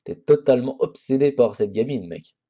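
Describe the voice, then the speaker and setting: A man's voice: mid-range voice, lively intonation, moderate pace, mellow, husky, highs cut off. A man hosting a let's play, chatting casually over a horror visual novel with crude jokes and frequent restarts. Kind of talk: casual game commentary.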